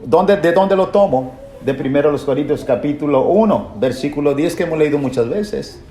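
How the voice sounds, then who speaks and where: A man's voice: a little high, lively intonation, moderate pace, dark tone. A man preaching a Bible lesson in an emphatic, didactic way, posing rhetorical questions and repeating key phrases. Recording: echoey room, faint background noise.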